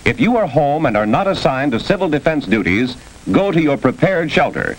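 A man's voice: on the high side, very animated, normal speed. A man with an American accent playing an urgent, official civil defense radio announcer in a 1950s film. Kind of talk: 1950s emergency radio bulletin. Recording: noisy old 1950s film soundtrack.

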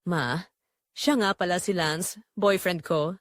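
A woman playing a cheerful, bubbly grown daughter, eager and excited. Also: lowish voice, slow pace, no echo, clean studio sound. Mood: joyful.

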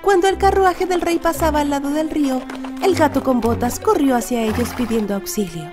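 A woman reading a children's storybook as narrator, with expressive scripted delivery. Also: sits high, a little fast. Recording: music behind the voice.

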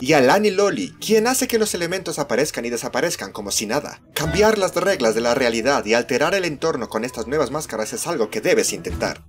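A man's voice: medium pitch, big pitch swings, fairly quick, few pauses, music in the background. A man with a Latin American Spanish accent reading scripted game trailer narration in an enthusiastic, promotional manner. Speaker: voice-over narrator of a fan dub.

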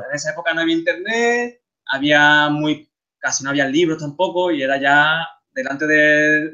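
A man in his thirties with an Andalusian Spanish accent, giving a relaxed, chatty talk over slides, with frequent restarts and fillers. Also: mid-range voice, dull audio. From Seville, Spain. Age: 30s. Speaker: guest speaker, game artist.

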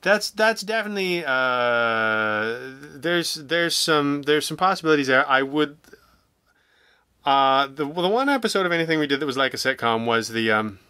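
A man in middle age chats casually in a bright, smooth voice. He circles his thoughts with hesitant, rambling phrasing. The sound is dry and close. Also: a little high, lively intonation, moderate pace, dry recording. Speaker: audio drama creator, interview guest. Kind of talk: casual podcast conversation.

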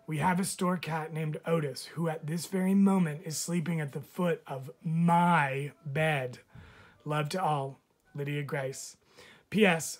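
A man, a teacher, reads a picture book of letters aloud with expressive, measured phrasing. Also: on the high side. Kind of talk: storybook read-aloud of letters.

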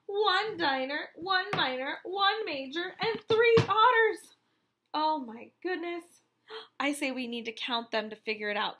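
Female teacher, 30s, very high bright voice, animated picture-book read-aloud for little kids. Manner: animated, exaggerated wonder, addressing young children with questions. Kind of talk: children's read-aloud counting lesson.

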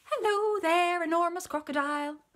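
Innocent storybook bird played by a woman: high, chirpy, singsong, sweetly naive. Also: moderate pace, fairly bright tone. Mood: cheery. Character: roly-poly male bird, cheery, innocent, naive.

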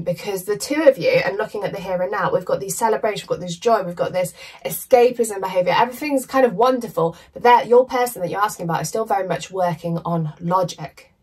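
A woman in her thirties doing a chatty, emphatic tarot reading to camera in a small room, her voice going hoarse. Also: lowish voice, a little mellow, recorded from a distance. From United Kingdom. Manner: chatty, emphatic, casual swearing. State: losing her voice, coughing. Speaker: tarot reader. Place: small decorated room indoors.

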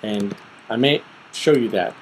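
A middle-aged man giving an informal, step-by-step screen tutorial, thinking aloud methodically with pauses. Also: fairly low pitch, slight background noise, poor audio. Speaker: tutorial presenter. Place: small room at home, indoors.

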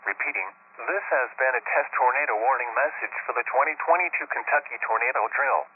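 A man reading scripted emergency test announcements as a weather radio announcer, in a formal, measured, synthetic-sounding delivery over a staticky broadcast. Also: medium pitch, breathy edge, narrow, tinny audio.

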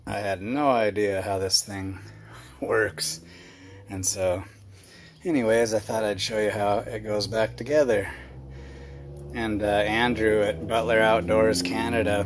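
A middle-aged man casually narrating a workshop how-to in his garage, rambling and chatty with lots of fillers, his hands busy on the repair. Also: lowish voice. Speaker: garage video host. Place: cluttered home workshop garage.

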